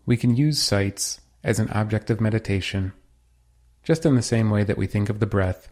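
Male meditation guide, lowish voice, measured and soothing instructional read. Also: darkish tone. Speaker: meditation teacher.

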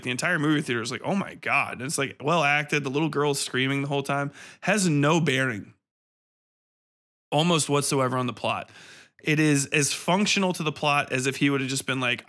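A man's voice: mid-range voice, sharp tone. A man hosting a podcast, giving a casual film review monologue in a blunt, sardonic, profane way.

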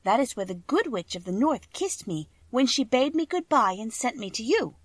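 Woman doing a meek earnest little girl, high and pleading. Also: big pitch swings, moderate pace, no echo.

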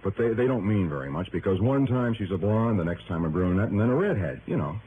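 A man's voice: on the low side, very animated, fast. An actor playing an earnest, worried, methodical male insurance official in a vintage radio drama, explaining things with emphasis. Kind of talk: 1950s-60s radio drama acting. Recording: tinny, noisy vintage radio recording.

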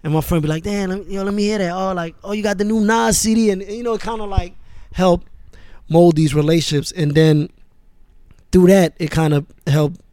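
A man born in France and raised in Queens, speaking as a guest in a relaxed, casual interview. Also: high-pitched, brisk, no echo, studio quality. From born in France, raised in Queens, New York. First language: Arabic. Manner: relaxed, laid-back, with many fillers. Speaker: guest, music manager.

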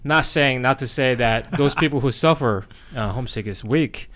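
A man with a Korean accent and native Korean, telling personal stories casually in a halting, reflective way, searching for words. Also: medium pitch, a bit bright. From South Korea. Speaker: podcast host.

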